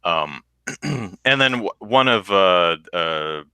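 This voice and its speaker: A man with a deep bass voice chats loosely on a podcast, stumbling through restarts as he goes. His tone swings widely and has a strikingly bright, forward edge. The sound is dry and close. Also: lively intonation, slow, smooth, no echo. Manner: rambling, self-interrupting with restarts, sings low demo notes. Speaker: podcast co-host. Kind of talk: casual chat.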